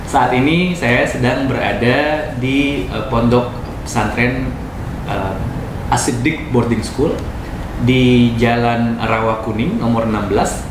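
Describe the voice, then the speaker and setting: A man's voice: mid-range voice, steady tone, takes it slowly. A man hosting a casual interview at an Islamic boarding school, hesitating often with fillers. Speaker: host. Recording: echoey room with noise.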